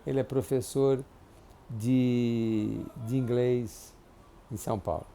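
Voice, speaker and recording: Older man, 70s, gravelly and dark-toned; halting, reflective interviewee with faint room hiss. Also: mid-range voice, fairly even intonation. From Brazil. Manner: halting, reflective, many restarts and tag questions. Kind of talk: oral history interview. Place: clinic office.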